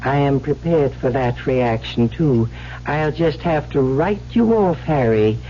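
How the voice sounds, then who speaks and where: An actor playing a cold, superior alien villain in a radio drama, speaking in a measured, formal, condescending way. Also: male voice, medium pitch, lively intonation, warm tone, lightly acted. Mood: calm, menacing. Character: cold, superior male alien plotting humanity's destruction. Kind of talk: 1970s radio drama acting. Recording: dull, faintly hissy old radio recording.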